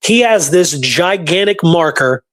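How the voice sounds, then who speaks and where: A man's voice: a little high, animated, measured pace, fairly bright tone. A man telling stories in a casual, informal, colloquial way, as a guest researcher in a conversation.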